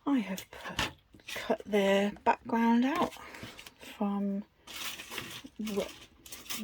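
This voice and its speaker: A woman's voice: medium pitch, noisy background. A British woman giving a casual, unscripted craft tutorial in a chatty, self-deprecating way. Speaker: craft video host.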